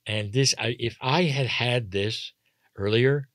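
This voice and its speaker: Casting: older man guest, animated, emphatic, chuckling, dry close sound. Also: medium pitch, takes it slowly, dry recording. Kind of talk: casual interview talk.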